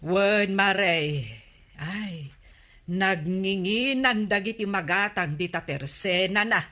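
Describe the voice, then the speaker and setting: A woman's voice: mid-range voice, slow, pushed and bright. A woman playing a plaintive village shopper who is short of money, in a comedy radio drama. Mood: plaintive, coaxing.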